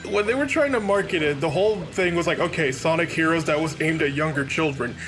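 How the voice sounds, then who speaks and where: A man's voice: fairly high pitch, fast. A man giving casual, joking commentary as a let's play host. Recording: game music behind voice.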